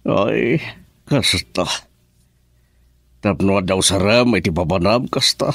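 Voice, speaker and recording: An elderly Ilocano father in a radio drama, patient and caring. His voice is very low and unhurried, recorded dry and close. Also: gravelly, fairly bright tone, no echo, full character voice. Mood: puzzled, concerned.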